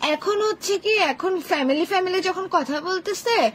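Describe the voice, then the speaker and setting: A woman's voice: extremely high pitch, normal speed, a little hiss or hum. A young woman with a Bangladeshi Bengali accent, scolding and insistent in a casual phone quarrel. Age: young adult. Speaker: girlfriend in a phone argument.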